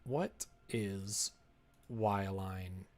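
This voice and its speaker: A man's voice: low voice, steady tone, drawn-out words, darkish tone. A man live streaming as he develops a game, chatting casually and muttering to himself as he works at his desk. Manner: muttering to himself between replies to chat. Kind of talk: casual chat while working. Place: indoors at a computer desk.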